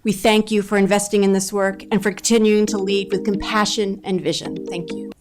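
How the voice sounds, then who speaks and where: A woman from a nonprofit reading prepared testimony to a county council, earnest and measured, with emphatic pauses. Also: medium pitch, level tone, over music. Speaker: nonprofit leader giving public testimony. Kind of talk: prepared testimony read aloud.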